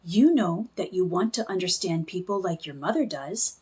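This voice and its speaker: A woman's voice: fairly low pitch, lively intonation, moderate pace, a little mellow, breathy edge. A woman voicing a tiny magical storybook companion, neither boy nor girl, chirpy, encouraging and reassuring.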